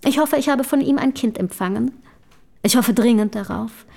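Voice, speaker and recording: A woman's voice: on the high side, moderate pace, gravelly, dark tone. An ardent, haughty Romantic woman writer. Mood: rapturous, boastful. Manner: effusive, self-dramatizing, formal old-fashioned diction. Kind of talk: German radio drama acting.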